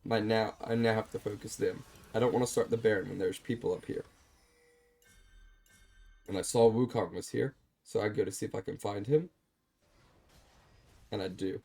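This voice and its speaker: A man calmly walking through a replay of his own game, explaining his choices, with a slightly stuffed-up voice. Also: lowish voice, moderate pace, creaky, long pauses, faint music behind. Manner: calm, explanatory, self-deprecating. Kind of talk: gameplay commentary over a replay.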